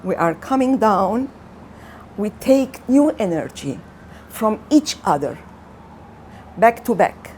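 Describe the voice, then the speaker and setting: Slow, heartfelt woman searching for words. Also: mid-range voice, big pitch swings, a little mellow, a little hiss or hum. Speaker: community center worker. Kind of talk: interview testimony.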